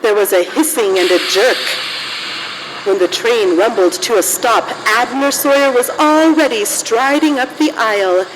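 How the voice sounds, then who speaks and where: A woman's voice: on the high side, a little slow, noisy background, close to an everyday voice. Woman acting as storyteller in an echoey hall. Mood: dramatic. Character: storyteller narrating a Christmas tale. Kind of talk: scripted narration.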